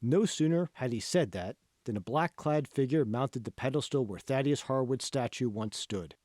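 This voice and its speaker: A man's voice: mid-range voice, normal speed, darkish tone, no echo, clean studio sound. A man reading a novel aloud as scripted audiobook narration. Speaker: author reading own audiobook.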